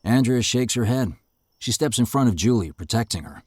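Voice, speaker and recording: A man reading scene directions in a steady, matter-of-fact way. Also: mid-range voice, fairly quick, slightly rough, no room sound, clean studio sound. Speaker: narrator. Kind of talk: scripted narration of stage directions.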